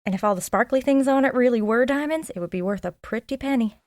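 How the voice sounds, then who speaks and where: A woman's voice: on the high side, fast talker, a little mellow, close to an everyday voice. A woman playing a nosy, loyal small-town sidekick in an audio drama, with a frank, folksy and eager manner. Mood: chatty, awed. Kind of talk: audio drama dialogue acting.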